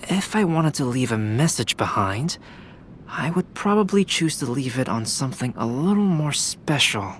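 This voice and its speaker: A man's voice: medium pitch, very animated, slight background noise, close to an everyday voice. Man acting composed, analytical young male scholar. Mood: thoughtful. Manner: measured, precise, formal. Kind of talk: anime game voice acting.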